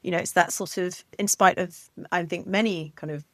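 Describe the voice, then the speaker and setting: A woman's voice: lowish voice, steady tone, normal speed, fairly bright tone, dry recording. A woman hosting a podcast interview, asking questions in a reflective way and thinking aloud. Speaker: podcast host.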